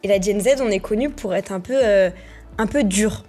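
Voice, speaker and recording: A woman's voice: medium pitch, moderate pace, mellow. Young woman host asking questions. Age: young adult. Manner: conversational, searching for words. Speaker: podcast host. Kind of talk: interview questions.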